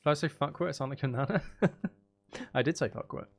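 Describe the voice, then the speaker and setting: Gravelly man chatting over a game. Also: on the high side, fairly quick. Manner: rambling, self-mocking, sweary, thinking aloud. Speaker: gaming video host. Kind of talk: casual chatty Minecraft game commentary.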